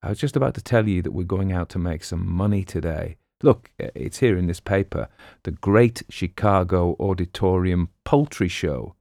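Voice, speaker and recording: A man's voice: low-pitched, moderate pace, vocal fry, darkish tone, dry recording, clean studio sound. A man voicing a clever, pompous con man in an audiobook, breezy and bossy. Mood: scheming. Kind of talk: audiobook character voice.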